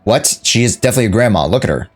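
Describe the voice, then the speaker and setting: A man's voice: fairly low pitch, expressive, very fast, soft background music. A man giving casual live gameplay commentary, playful and exclaiming, often repeating his phrases. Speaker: game livestreamer.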